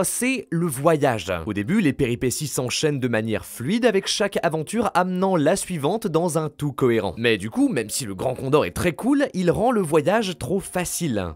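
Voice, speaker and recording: A man's voice: a little high, a little mellow. A man narrating a scripted video essay as a dramatic storyteller, slipping in informal asides. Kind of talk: scripted documentary-style storytelling.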